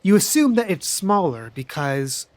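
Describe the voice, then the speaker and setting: A man running a tabletop game as game master, narrating scenes in a dry, deadpan way. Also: high-pitched, unhurried, dry recording.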